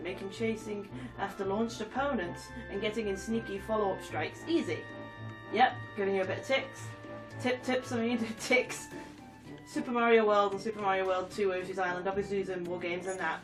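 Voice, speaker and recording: A woman's voice: medium pitch, recorded from a distance. Giggly stuffy-nosed woman with a cold. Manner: giggly, chatty, reads text aloud with asides. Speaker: gaming YouTuber. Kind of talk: casual game commentary, reading trophy descriptions aloud.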